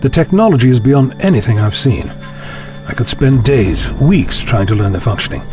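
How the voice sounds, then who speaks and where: A man acts out a calm, authoritative scientist-adventurer from a sci-fi audio drama. He explains things in deliberate, formal sentences at a medium pitch, with music running underneath. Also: natural voice. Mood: decisive. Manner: measured, deliberate, formal phrasing. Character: learned, calmly authoritative male time-travelling scientist. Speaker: lead actor in a fan audio drama. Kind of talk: scripted science fiction audio drama acting.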